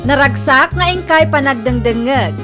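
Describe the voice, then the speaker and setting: A woman's voice: high voice, moderate pace. A woman co-announcer reading a scripted introduction for a drama channel. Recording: music behind voice.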